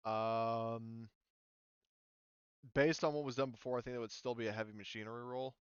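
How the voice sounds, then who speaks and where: A man's voice: mid-range voice, fairly even intonation, normal speed, smooth, dry recording. Man running a tabletop game, muffled audio. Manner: casual, thinking aloud. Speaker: game master. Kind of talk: casual tabletop game narration and rules talk.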